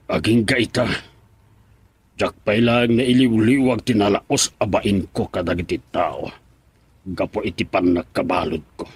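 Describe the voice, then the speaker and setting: An actor playing a brooding, resentful older man with a rough, husky voice in a radio drama. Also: fairly low pitch, no echo, full character voice. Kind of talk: radio drama acting, monologue.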